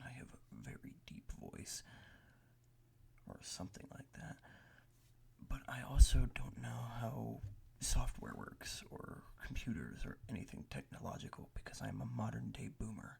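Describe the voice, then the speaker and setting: A man doing soft, half-whispered ASMR close to the microphone, rambling in a hesitant, self-deprecating way with a breathy, gravelly voice. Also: mid-range voice. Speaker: ASMR creator. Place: bedroom at a desk.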